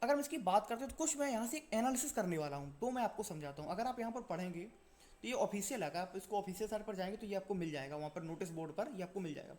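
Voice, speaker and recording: A man's voice: high voice, lively intonation, quick pace, a little mellow, a little hiss or hum. A man presenting an exam-update video in an informal explainer style, repeating his points and answering his own questions.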